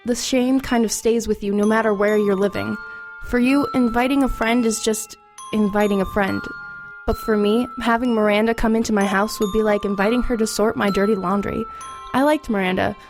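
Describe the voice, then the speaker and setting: A woman's voice: mid-range voice, steady tone, fairly quick, lightly acted. A woman playing a reflective teenage girl who narrates her own ghost story in a confiding way. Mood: confiding, rueful. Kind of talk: first-person dramatic narration. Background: music under the voice.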